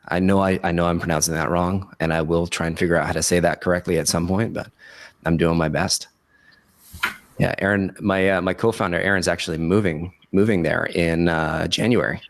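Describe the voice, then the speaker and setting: A man with a low voice, raspy from the tail end of a cold, hosts a podcast webinar. He talks informally, addressing people like buddies. The sound is dry and close. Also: no echo. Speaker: podcast host. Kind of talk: casual webinar hosting.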